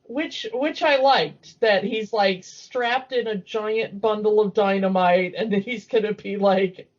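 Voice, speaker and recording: A woman hosting a podcast, chatting casually and enthusiastically about cartoons. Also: mid-range voice. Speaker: podcast host. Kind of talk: casual chat, cartoon commentary. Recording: dull lo-fi audio.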